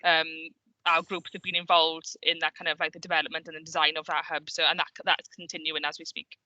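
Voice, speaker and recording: A woman council officer adding details in an online meeting, quick and matter-of-fact. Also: low-pitched, little pitch movement, pushed and bright, dry recording. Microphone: video call. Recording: dull, dry video-call audio.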